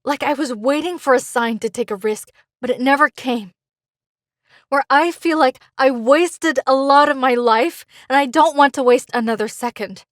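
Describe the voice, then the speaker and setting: Woman acting bitter, high-voiced female caller. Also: moderate pace, no echo, clean studio sound, natural voice. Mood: annoyed, regretful. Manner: sardonic, brooding. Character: bitter, annoyed female caller searching for meaning. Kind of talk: audio drama monologue.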